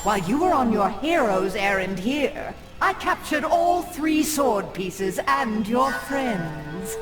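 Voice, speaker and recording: A villainous sorceress from a video game, haughty and menacing. She draws her words out slowly with dramatic swings of pitch. Music plays clearly behind her. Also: big pitch swings. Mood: gloating menace. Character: gloating villainous cult sorceress, imperious and cruel.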